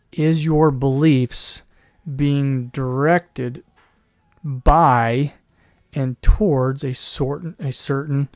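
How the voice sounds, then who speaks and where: A man hosting a solo commentary podcast, losing his voice, rambling with restarts and clearing his throat. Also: medium pitch, darkish tone, no room sound, clean studio sound. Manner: mocking put-on voices in quoted asides. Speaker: podcast host.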